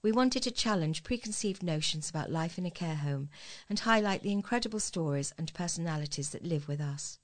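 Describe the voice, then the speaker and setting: A woman reading scripted local news as a volunteer newsreader, in a measured read-aloud manner. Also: lowish voice, animated.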